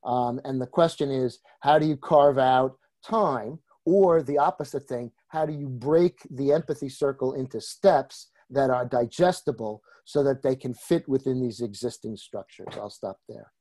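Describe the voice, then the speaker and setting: A man with a New York accent, a trainer speaking in a group discussion, pausing often with fillers. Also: medium pitch, warm tone. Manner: hesitant, many filler pauses. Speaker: empathy circle trainer.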